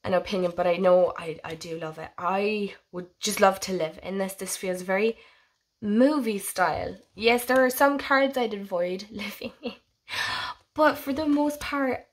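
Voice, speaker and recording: A young woman with a hoarse voice from a head cold, chatting casually and gushingly as she shows her tarot decks on her own channel. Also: medium pitch. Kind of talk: casual show-and-tell vlog.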